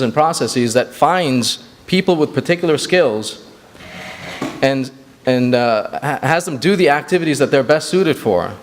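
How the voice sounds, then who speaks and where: A man's voice: mid-range voice, long reverb tail. A man who grew up in Sri Lanka giving an earnest banquet speech as executive director, with frequent fillers and restarts. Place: large banquet hall.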